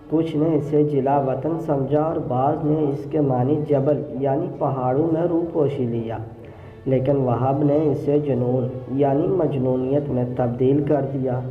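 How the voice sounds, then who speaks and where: A man narrating a scripted religious story in a measured, formal storytelling manner. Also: a little high. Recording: roomy echo, phone-like narrow sound.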